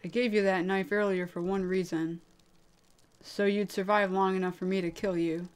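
A woman voicing a hot-tempered young male killer, blunt, gruff and impatient, in anime drama style. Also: mid-range voice, fairly even intonation, moderate pace, a little mellow, close to an everyday voice. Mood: menacing.

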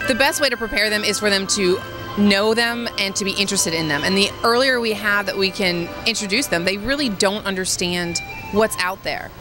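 A woman's voice: medium pitch, fairly quick. Woman teacher, earnest interview voice, music bed behind. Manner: earnest, a few restarts. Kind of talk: on-camera interview. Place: school gym at an expo. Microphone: handheld mic held near.

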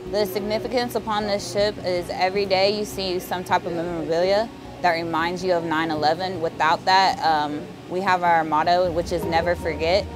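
A woman's voice: on the low side, little pitch movement, moderate pace, music in the background. A young woman sailor from Florida speaking steadily and earnestly in an office. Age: young adult. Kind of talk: recorded testimonial. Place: office with a mural.

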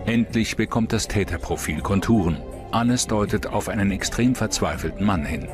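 A man's voice: fairly low pitch, moderate pace, music in the background. A man reading scripted true-crime documentary narration as the narrator.

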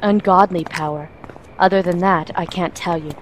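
A woman playing a composed, wry assassin, explaining things in a measured way in an audio drama. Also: lowish voice, steady tone, slight background noise, lightly acted, low-quality recording.

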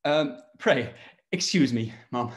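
A young man playing an affable, slightly hesitant gentleman in a period radio play. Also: medium pitch, lively intonation, takes it slowly. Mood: polite, apologetic. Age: young adult. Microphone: video call.

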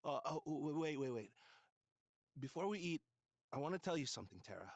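Young surfer dude, slightly high voice, laid-back, earnest, slangy, video game dialogue. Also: male voice, sing-song, a little fast, no room sound, dull audio. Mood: nervous, sincere. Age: young adult.

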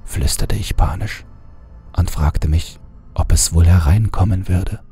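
A man reading a horror story aloud in a calm, measured first-person narration. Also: deep voice, faint music behind.

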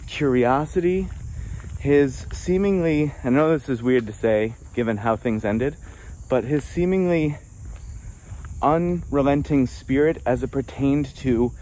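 A man's voice: medium pitch, warm tone, slight background noise, poor audio. A man in his thirties musing casually while walking a wooded trail, filming himself on a phone at arm's length, with many fillers and restarts. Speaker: vlogger answering viewer questions. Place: outdoors on a wooded forest trail.

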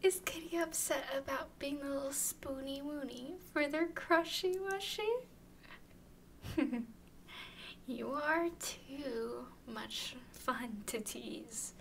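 A woman playing a tall, clingy, playfully teasing young woman smitten with her friend, in an intimate, softly whispered ASMR roleplay. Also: high-pitched, takes it slowly, heavily acted. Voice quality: soft, breathy whispering. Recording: faint background noise.

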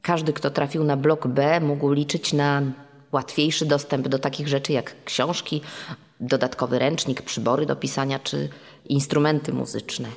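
A woman's voice: sits low, fairly even intonation, moderate pace, gravelly. A woman reading scripted documentary narration in a recording with long reverb. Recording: long reverb over background music.

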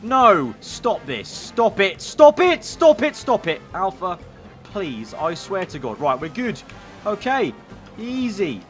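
A young man commentating a racing game, excitable and slightly hoarse, breaking into shouts at crashes. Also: high voice, takes it slowly, faint music behind. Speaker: gaming YouTuber.

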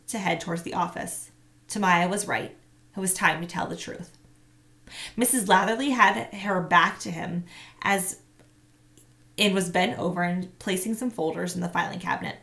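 A woman in her thirties reading a children's novel aloud in the expressive way of a teacher. Her voice carries a touch of vocal fry. She sits close to her webcam in a small room at home. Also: fairly low pitch. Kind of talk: read-aloud storytelling. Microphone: webcam at close range.

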